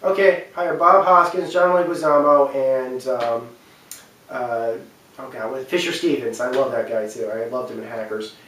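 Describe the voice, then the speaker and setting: A man giving an unscripted opinion talk as a video commentator, speaking emphatically in a halting, repetitive, rambling way. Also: medium pitch, fairly even intonation, fairly bright tone, a little hiss or hum. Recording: very echoey room, distant microphone.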